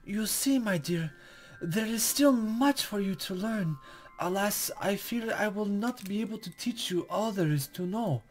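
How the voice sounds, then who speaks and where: Man putting on a high, playful, cryptic spirit lady. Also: lively intonation, unhurried. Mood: wistful, playful. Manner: archaic, formal, teasing, condescending. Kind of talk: visual novel character read-aloud.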